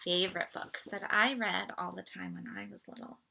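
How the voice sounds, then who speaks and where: A woman reading a picture book aloud to children in an expressive, deliberate way. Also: on the low side, gravelly, a little hiss or hum, low-quality recording. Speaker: storybook read-aloud presenter.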